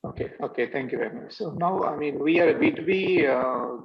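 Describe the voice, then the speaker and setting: A man asking a business question over a video call, hesitant with many "uh" pauses. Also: a little high, gravelly. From India. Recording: dull, muffled call audio.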